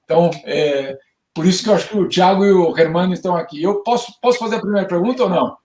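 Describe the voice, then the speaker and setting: A man co-hosting a panel discussion who asks questions haltingly and fills his pauses with drawn-out sounds. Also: sits high, a little mellow, roomy sound. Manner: hesitant, full of filler sounds, curious questioner. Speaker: co-host columnist.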